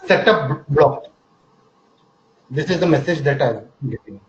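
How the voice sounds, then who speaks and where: Hesitant male student, fairly high voice, many fillers, muffled call audio. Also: animated, measured pace, reverberant, a little hiss or hum. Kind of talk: asking for help in an online class.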